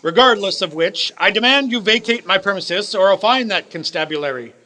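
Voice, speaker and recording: A man's voice: high-pitched, expressive, brisk, background noise. An actor playing a cold, miserly old businessman in a radio play, speaking in a formal, sarcastic, condescending way. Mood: irritated, scornful. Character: cold, miserly old male business owner, scornful of Christmas. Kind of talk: theatrical radio play acting.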